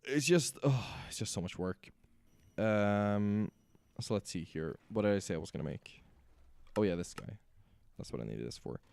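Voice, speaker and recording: Hoarse male game streamer, low mellow voice, lively pitch, thinks aloud while playing. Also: moderate pace, dry recording. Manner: talks to himself while building machines. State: raspy, sore voice. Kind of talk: casual gameplay commentary.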